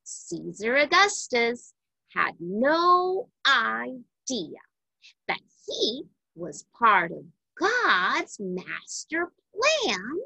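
A woman reading a children's Bible storybook aloud in a deliberate, emphatic way for young listeners. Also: on the high side, creaky, bright, forceful tone, breathy edge, no echo, poor audio.

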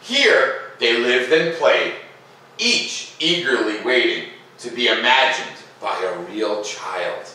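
Echoey, bright-voiced man reading aloud. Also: medium pitch, slow pace. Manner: informal, expressive when reading. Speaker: host of a children's read-aloud. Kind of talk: picture-book read-aloud with casual asides. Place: living room by a fireplace, indoors. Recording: echoey room, distant mic, faint noise.

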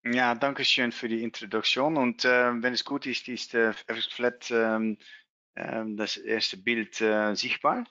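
A man with a Dutch accent, presenting a trading webinar in German as a non-native speaker, halting and searching for words with many fillers, over a video call. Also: medium pitch, flat delivery, bright, forceful tone, no echo. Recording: dull lo-fi video call audio.

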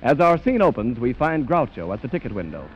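A man's voice: mid-range voice, big pitch swings, a little fast. A man narrating a story as an announcer in scripted 1930s radio style. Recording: noisy, muffled 1930s radio recording.

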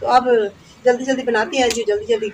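Woman in her thirties, highish voice, chatty step-by-step cooking talk, outdoor camera sound with noise. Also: little pitch movement, fast talker, rough recording. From Pakistan. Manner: chatty, peppers speech with "ji", step-by-step explaining. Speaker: vlogger cooking. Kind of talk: casual cooking vlog narration. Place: outdoor village rooftop courtyard.

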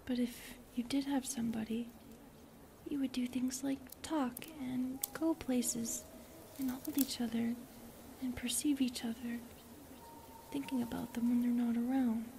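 A woman voicing a gentle, lonely, eerie forest spirit in a breathy, whispered ASMR roleplay, slow and wistful. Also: on the high side, a little hiss or hum.

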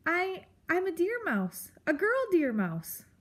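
A woman's voice: high-pitched, expressive, takes it slowly, voice pushed up. A woman voicing a small, polite young girl mouse in a children's storybook, meek and hesitant. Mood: timid.

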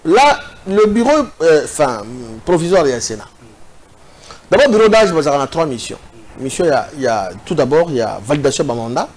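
Un homme d'une quarantaine d'années avec un accent congolais, débattant sur un plateau de télévision d'un ton animé et hésitant, avec beaucoup d'hésitations. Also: male voice, on the high side, fairly quick, slight background noise, rough recording. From Équateur province, DR Congo. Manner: animated, hesitant, many fillers, gesturing. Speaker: political commentator. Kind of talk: TV debate. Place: TV studio set.

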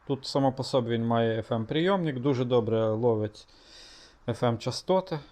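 A man giving a relaxed, casual product demonstration, thinking aloud with small hesitations. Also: mid-range voice, moderate pace. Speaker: motorcycle vlogger.

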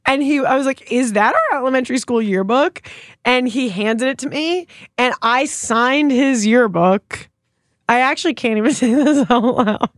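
A woman's voice: high voice, normal speed, no echo, studio quality. A woman in her thirties, a comedian guest on a podcast, telling rambling stories with lots of giggles and fillers. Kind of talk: casual podcast chat.

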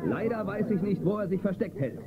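An actor playing an upright, steady space-western marshal in a radio play, earnest and firm. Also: male voice, sits high, a little fast, crowd noise. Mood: firm, reassuring. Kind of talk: German radio play acting. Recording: tinny, noisy old cassette copy.

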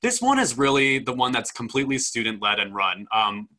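Young man, forceful bright voice, informal host, panel welcome, video call. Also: medium pitch, sing-song, brisk. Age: young adult. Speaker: admissions dean hosting a student panel. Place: indoor room, video call. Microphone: video call microphone.

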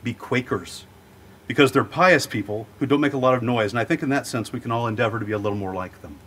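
A man hosting an interview in a sound booth, running a jokey quiz game with playful deadpan punchlines. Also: mid-range voice, fast talker, slight background noise. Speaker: host.

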